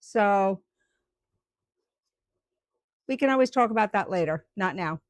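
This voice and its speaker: Slow, rambling woman streaming her woodturning. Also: mid-range voice, lively intonation, dry recording. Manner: rambling, many ums and restarts, chats with viewers. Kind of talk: casual live-stream chat.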